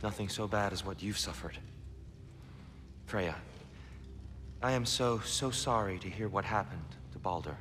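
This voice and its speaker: A soft-spoken disguised old god. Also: male voice, fairly low pitch. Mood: soft, courteous. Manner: gentle, measured, apologetic. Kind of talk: video game cutscene acting. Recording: game audio with steady background noise.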